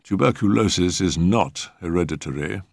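A man voicing a pompous, genial eminent male doctor who lectures in a measured, emphatic way in a storybook reading. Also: lowish voice, very animated, vocal fry, dry recording, studio quality, pitched above the performer's own voice, heavily acted. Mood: dry, instructive.

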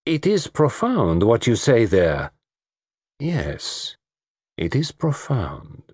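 A man voicing a gallant, shrewd middle-aged detective with a French accent, speaking in courteous, measured, formal phrases in an audiobook story. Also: mid-range voice, huge pitch swings, a little mellow, husky, no echo, clean studio sound, pitched above the performer's own voice, heavily acted. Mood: musing.

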